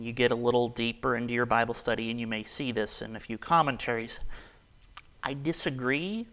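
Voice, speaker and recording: A man preaching a casual, conversational sermon, his voice raspy and hoarse from a cold. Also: medium pitch, pushed and bright.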